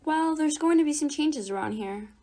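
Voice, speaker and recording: A woman playing an exasperated, brisk mother in a radio drama, with a bossy, folksy, scolding manner. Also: sits high, animated, dark tone.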